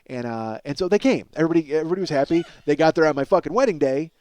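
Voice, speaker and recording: A middle-aged man hosting a comedy podcast, telling stories in a casual, profane, self-deprecating ramble and exaggerating excitably for comic effect. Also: fairly high pitch, racing, no echo. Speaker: podcast host, comedic storyteller.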